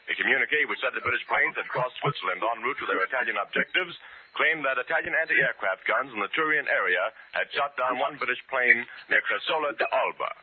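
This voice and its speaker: A man's voice: mid-range voice, lively intonation, a little fast, husky, no room sound. An American man anchoring a 1940s radio news bulletin, reading dispatches in a scripted announcer style. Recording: old narrow-band radio with hiss and clicks.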